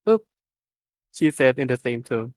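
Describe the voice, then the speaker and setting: A man's voice: medium pitch, fairly even intonation, normal speed, slight background noise. A man doing an informal live amateur reading of a story, stumbling over words now and then. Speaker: reader of fan fiction at an online readalong.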